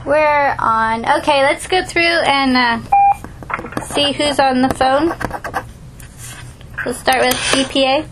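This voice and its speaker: Woman meeting organizer, high voice, brisk and teasing; office speakerphone with steady noise. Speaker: meeting organizer on a conference call. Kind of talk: casual office chat and call roll.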